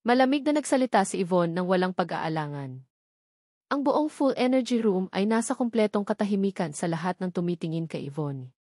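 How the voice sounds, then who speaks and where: A woman's voice: medium pitch, animated, a little fast, darkish tone, dry recording, clean studio sound. A woman reading a story aloud as a scripted audiobook narration.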